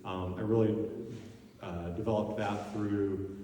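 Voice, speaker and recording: A man giving a personal talk in a halting way, with many fillers and restarts. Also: on the low side, fairly even intonation, warm tone, slight background noise. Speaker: speaker giving a presentation. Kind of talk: personal talk about faith and career. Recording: echoey hall from far off.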